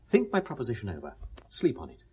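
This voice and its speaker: An actor playing a genteel, pious and concerned British confidant in a 1970s radio drama, speaking with gentle firmness. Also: male voice, mid-range voice, wildly swooping pitch, quick pace, slightly rough, exaggerated voice. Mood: earnest, advising.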